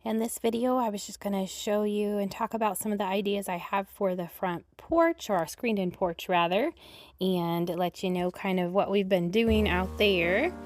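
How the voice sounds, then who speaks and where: A middle-aged woman with a raspy, hoarse voice from a cold, chatting casually with lots of ums while showing her house and porch. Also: medium pitch, normal speed. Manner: chatty, rambling, frequent ums. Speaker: home vlogger. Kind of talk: casual home tour chat.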